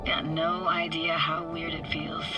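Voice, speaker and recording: A woman's voice: low-pitched, monotone, a little slow, highs cut off. An actress voicing a wry, wistful, guarded young woman in a video game, speaking in casual, clipped, dry phrases. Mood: reflective. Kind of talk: video game dialogue acting. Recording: loud music and watery game sounds behind.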